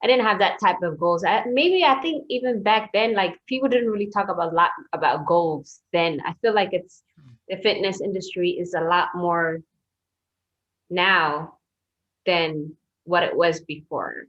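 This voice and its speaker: A young woman from Ethiopia, a personal trainer, talking as a guest in a soft, hesitant, casual way. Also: on the low side, a little slow. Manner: soft-spoken, hesitant, laughs easily. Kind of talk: casual interview chat. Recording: dull lo-fi remote audio.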